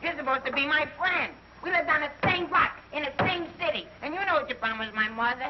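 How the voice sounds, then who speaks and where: An actor playing a whiny, hapless young army private who gripes and pleads childishly in a mid-century film comedy. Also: male voice, very high voice. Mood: aggrieved whining. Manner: fast, pleading, childish griping, mangled grammar. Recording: dull old film soundtrack, faint noise.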